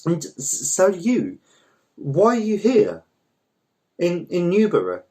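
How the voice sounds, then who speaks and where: Man putting on a high, gushing, glamorous young woman. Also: wildly swooping pitch, slow, dark tone, exaggerated voice. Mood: surprised, gushing. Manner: exclaiming, singsong, gushing. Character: glamorous, breezy, excitable well-off young woman, an old acquaintance. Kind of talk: storybook character voice in a read-aloud.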